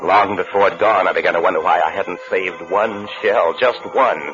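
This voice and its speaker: A man's voice: deep voice, steady tone, moderate pace, fairly bright tone. An actor playing a brooding, desperate man on the run for murder, narrating his own story in a 1950s radio suspense drama. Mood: grim, desperate. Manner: brooding, fatalistic first-person storytelling. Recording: muffled vintage radio recording with music.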